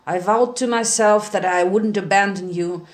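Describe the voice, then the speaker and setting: A woman voicing a weary, loving male pony father, halting and earnest, in a story reading. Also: mid-range voice, lightly acted. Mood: guilt-ridden. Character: lanky stallion pony father, guilt-ridden, weary, loving.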